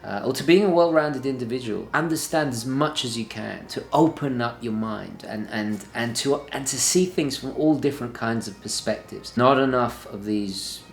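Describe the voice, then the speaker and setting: Young man, earnest vlogger, emphatic, restarts and repeats words, mid-pitched voice, echoey home study. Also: moderate pace, gravelly, hardly any pauses. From born in London, early childhood in northern Italy. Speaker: lifestyle vlogger. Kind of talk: casual talk to camera. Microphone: camera at desk distance. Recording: echoey room.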